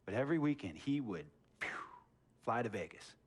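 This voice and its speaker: An actor playing an eccentric, wealthy, middle-aged boss in a video game cutscene, lecturing in a theatrical storytelling manner that swings between hushed and emphatic. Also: male voice, mid-range voice, animated, fairly quick, husky. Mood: reflective. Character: eccentric wealthy sports boss, preachy, slick, controlling man. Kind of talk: dramatic game cutscene monologue.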